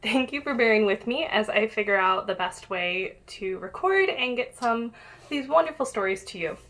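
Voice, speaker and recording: A woman's voice: on the high side, lively intonation, moderate pace, fairly bright tone. A woman teacher reading a picture book aloud to young pupils, addressing them directly and encouragingly with questions and asides, on a home-made recording.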